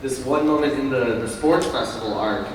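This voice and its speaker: A man's voice: medium pitch, normal speed. A man on a convention panel, telling rambling behind-the-scenes stories full of fillers, recorded from far off in an echoey, noisy hall. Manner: rambling, filler-heavy storytelling. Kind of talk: casual anecdote telling. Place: large convention panel room.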